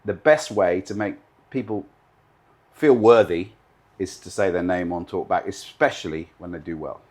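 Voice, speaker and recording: A man in his fifties, originally from Britain, speaks in a fairly low register as a guest in an interview. He talks with confidence and emphasis, often lining up his points in repeated, list-like runs. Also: normal speed, a little mellow. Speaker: guest, live TV director. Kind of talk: interview answers.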